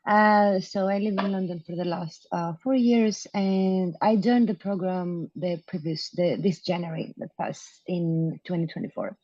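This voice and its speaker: Young woman chatting on a video call, mellow voice, bit dull and dry. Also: fairly low pitch, fairly even intonation, a little slow, hardly any pauses, no echo. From Greece. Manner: loose and hesitant, many fillers and restarts. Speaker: program graduate guest. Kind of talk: casual interview answers.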